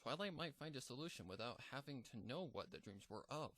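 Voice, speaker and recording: A man narrating a dark fan fiction story in a measured, somber reading. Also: medium pitch, animated, dry recording. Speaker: fanfic narrator.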